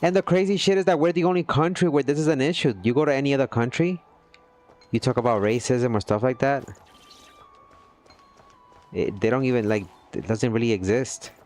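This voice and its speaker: A man's voice: mid-range voice, fairly quick, pauses often, darkish tone, soft background music. A man chatting casually with his livestream viewers, earnest and rambling, often checking in with "you know what I mean". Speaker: gaming livestreamer.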